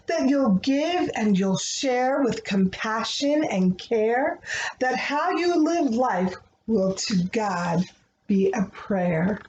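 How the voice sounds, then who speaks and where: A woman's voice: on the high side, darkish tone. A woman speaking tenderly and earnestly to young children, as the host of a picture book read-aloud. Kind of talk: expressive read-aloud of a rhyming picture book, then gentle talk to children. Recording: dull, echoey room with faint noise.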